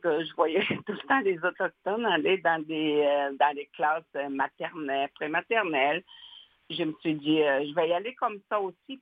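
Older woman, from Nutashquan, halting with fillers, tinny phone line, dry sound. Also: on the low side, dry recording. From Nutashquan, Quebec. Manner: halting, many fillers and restarts, laughs often. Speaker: guest, educational advisor. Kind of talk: radio interview.

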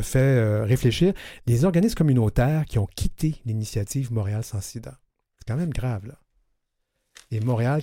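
A man's voice: mid-range voice, mellow. Guy hosting a panel, voice jumps around a lot, keeps it moving. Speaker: host, moderator of a round table. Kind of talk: round-table discussion.

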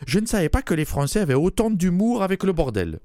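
A man's voice: high-pitched, big pitch swings, quick pace, warm tone, no room sound, clean studio sound. A man voicing a brash, mannish woman from Toulouse, a former rugby player, with a southern French accent, bawdy and punning, chuckling at his own jokes in a radio comedy sketch. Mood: cheeky.

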